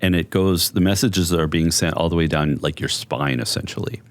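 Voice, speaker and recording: Man, deep bass voice, soothing, explanatory, dry studio sound. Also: fairly even intonation, normal speed, no room sound. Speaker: therapist guest. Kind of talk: conversational interview.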